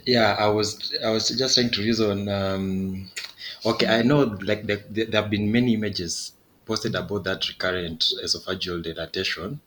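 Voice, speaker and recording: A man's voice: fairly low pitch, steady tone, normal speed, fairly bright tone, rough recording. A young man, a trainee asking questions in class, hesitant and repeating words as he thinks aloud. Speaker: radiology trainee. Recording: faint background noise.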